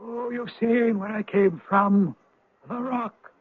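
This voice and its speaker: High-pitched, husky ancient rock spirit. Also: male voice, steady tone, takes it slowly, heavily acted. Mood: booming, knowing. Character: ancient male spirit of a rock, former Viking pirate, worldly-wise. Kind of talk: old-time radio drama acting. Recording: lo-fi phone-like radio recording, echoey.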